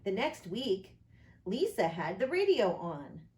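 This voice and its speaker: A woman reading a picture book aloud as an expressive storyteller for children. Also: mid-range voice, slow. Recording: echoey room.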